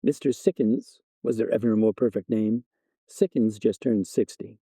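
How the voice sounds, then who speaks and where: A man's voice: fairly low pitch, fairly even intonation, moderate pace, mellow, slightly rough, no room sound. A man voicing a biting, exasperated fiancée in her twenties in a story reading. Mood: angry, accusing. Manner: biting, sarcastic. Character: sarcastic, exasperated twenty-five-year-old fiancée, easily wounded. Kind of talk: storybook dialogue narration.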